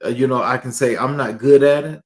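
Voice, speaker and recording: A man hosting an interview, asking questions and filling pauses with hesitant fillers. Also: medium pitch, fairly even intonation. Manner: brief backchannels, hesitant fillers. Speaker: interviewer, host. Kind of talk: conversational interview.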